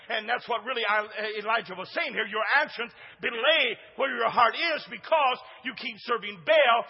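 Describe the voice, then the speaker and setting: Booming preacher in a reverberant hall. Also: male voice, breathy edge, dull audio. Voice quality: big booming voice. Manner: preaching, swings from hushed to shouting, calls for responses from the congregation. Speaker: pastor. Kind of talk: sermon. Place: church sanctuary.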